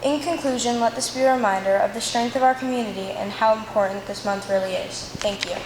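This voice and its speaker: A woman giving a prepared student speech in a measured, formal manner. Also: on the high side, over a noisy crowd. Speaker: student speaker. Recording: echoey hall with faint noise.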